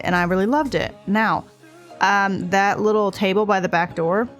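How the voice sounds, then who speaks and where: A woman talks through her building session in an easygoing, rambling voice-over, her nose a little blocked from allergies. She hesitates often and circles back on her thoughts, at an ordinary middle pitch. Soft music plays faintly behind her. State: stuffed up from allergies. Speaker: game builder narrating a speed build. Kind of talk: casual voice-over commentary.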